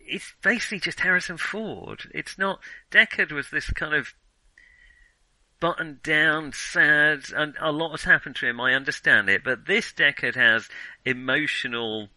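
A man's voice: on the high side, lively intonation, normal speed, sharp tone, no room sound, poor audio. A man chatting casually as a film podcast host, opinionated and thinking aloud as he reviews.

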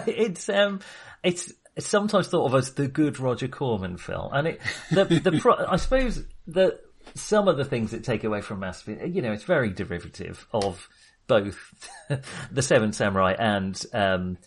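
A man with a mid-pitched voice talks casually about films as a podcast co-host. He feels his way through his opinions, hesitating and qualifying them as he goes. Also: big pitch swings, normal speed, slightly rough. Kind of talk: casual film discussion.

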